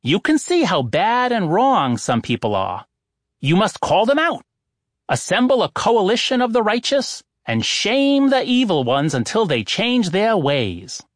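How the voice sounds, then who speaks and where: A man's voice: high-pitched, very animated, measured pace, no echo, studio quality, voice pushed up. A man voicing a pompous, irritable old male oracle who lectures in a lofty, exasperated way. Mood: preachy.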